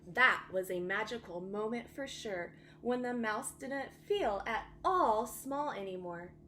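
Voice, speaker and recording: High-voiced woman reading slowly to children. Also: slight background noise. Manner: animated, dramatic emphasis for young listeners. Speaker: teacher reading a bedtime story. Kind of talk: expressive children's storybook reading.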